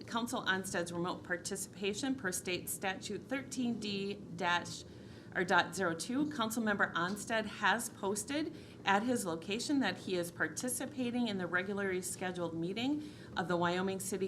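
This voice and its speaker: A woman's voice: medium pitch, normal speed. A woman with a Minnesotan accent chairing a city council meeting, reading agenda items in formal procedure with frequent ums. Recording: noisy council chamber recording.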